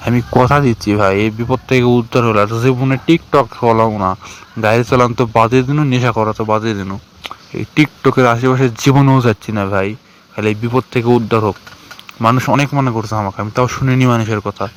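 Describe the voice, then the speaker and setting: A man's voice: medium pitch, fairly even intonation, a little fast, a little hiss or hum, close to an everyday voice. A man with a northern Bangladeshi accent playing a desperate, pleading village TikToker in a cartoon skit. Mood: pleading, regretful. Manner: rambling, complaining, pleading. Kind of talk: colloquial dialogue in a cartoon skit.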